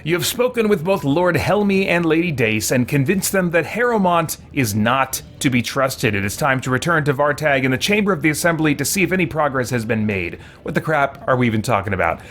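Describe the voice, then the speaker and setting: A man's voice: on the high side, lively intonation, a little fast, few pauses, fairly bright tone, a little hiss or hum. A man giving casual, rambling, self-deprecating gameplay commentary as a streamer. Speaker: streamer, let's play host. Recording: music playing behind the voice. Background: game music.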